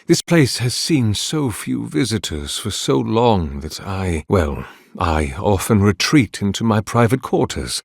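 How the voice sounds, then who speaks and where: Ancient, solemn low-voiced priest. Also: male voice, sing-song, vocal fry, no echo, studio quality, heavily acted. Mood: curious, courteous. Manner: formal, archaic, measured, reverent. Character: ancient, devout, melancholy godlike priest, courteous and solemn. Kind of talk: solemn video game character dialogue.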